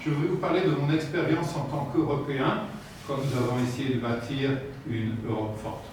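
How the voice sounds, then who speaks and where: Man speaking slowly in an echoey hall. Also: medium pitch, fairly even intonation, a little mellow. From France. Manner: slow, measured, hesitant with "euh" fillers. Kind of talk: formal talk with pauses for interpreting. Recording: echoey room from far off with noise.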